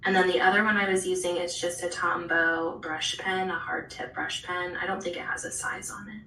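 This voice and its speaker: A woman hosting a live online art class, chatting softly and answering viewers while she draws. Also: fairly low pitch, fairly even intonation, normal speed, a little hiss or hum. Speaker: host and art instructor. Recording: roomy, distant live-stream audio.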